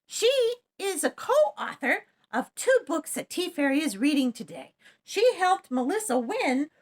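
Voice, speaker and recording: A woman doing a squeaky, chirpy kids' show host. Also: big pitch swings, unhurried. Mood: cheerful. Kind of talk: scripted kids' TV introduction.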